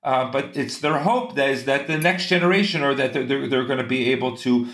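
Guest voice: earnest man, mid pitch, rambling restarts, echoey room. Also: brisk, a bit bright. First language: English. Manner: earnest, talks in long runs with many restarts. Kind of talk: casual interview conversation.

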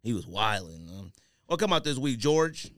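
A man's voice: mid-range voice, big pitch swings, normal speed, no echo, studio quality. A man co-hosting a podcast in casual banter, talking slangily and joking around. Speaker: podcast co-host. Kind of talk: casual banter about sneakers.

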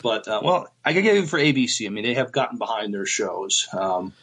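A man's voice: lowish voice, big pitch swings, brisk, a bit bright, slightly rough. A man in a casual podcast discussion, talking in a hesitant, thoughtful way as he gives his opinions. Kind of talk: casual TV review chat.

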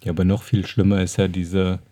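Male podcast co-host, lowish and very mellow, wry conversational delivery with some groping for words, dry close sound. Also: flat delivery, a little fast, no echo. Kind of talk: casual podcast chat.

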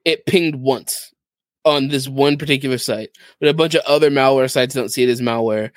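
A man with a medium-pitched voice explains gaming news in a casual, conversational way as a podcast co-host. The recording is very dry, with hardly any room sound. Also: normal speed, no room sound.

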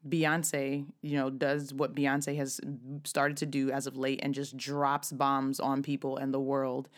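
A woman in her thirties hosting her own podcast, her voice raspy and hoarse, talking casually with frequent restarts. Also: very deep voice, monotone, measured pace. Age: 30s. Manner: chatty, with frequent restarts and fillers. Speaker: podcast host. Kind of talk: casual solo podcast talk.

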